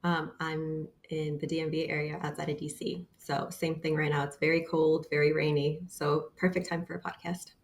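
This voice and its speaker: A woman's voice: low voice, flat delivery, normal speed, hardly any pauses. A woman in her thirties with a Bosnian accent, talking earnestly as a guest on a remote interview, often using fillers. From Bosnia and Herzegovina. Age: 30s. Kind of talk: casual interview.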